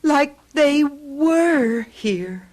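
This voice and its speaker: An actress playing an anxious housewife in a radio drama, fretful and hesitant but insistent. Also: female voice, high voice, animated, extremely slow, a little mellow, exaggerated voice. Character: anxious housewife troubled by a feeling about missing friends.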